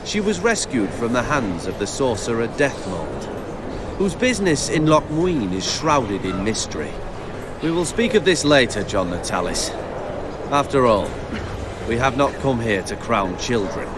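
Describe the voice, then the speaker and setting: A man playing an imperious, calculating king in a fantasy game, speaking in a regal, commanding, deliberate way. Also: mid-range voice, very animated, noisy background. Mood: smug, regal. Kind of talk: dramatic game acting.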